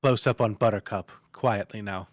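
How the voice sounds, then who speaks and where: A man's voice: on the low side, normal speed, no room sound, clean studio sound. A man giving dramatic scripted narration, reading stage directions like a storyteller.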